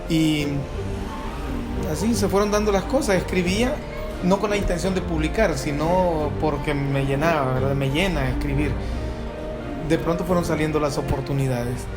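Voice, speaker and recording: A man's voice: fairly high pitch, normal speed. Reflective Salvadoran man, music underneath. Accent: Salvadoran Spanish. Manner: reflective, hesitant restarts with frequent fillers. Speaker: author and school director. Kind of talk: sit-down interview answers.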